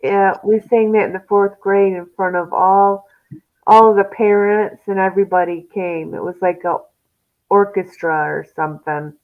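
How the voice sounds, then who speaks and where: A woman's voice: mid-range voice, fairly even intonation, normal speed, phone-quality sound. A woman in casual chat who recites lists from memory in an easygoing way.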